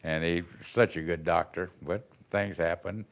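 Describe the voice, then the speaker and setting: A man's voice: low voice, steady tone, moderate pace, creaky. An older man from Texas, a retired band director, telling rambling, folksy stories in an interview. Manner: rambling, folksy storytelling with chuckles. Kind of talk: reminiscing interview answers.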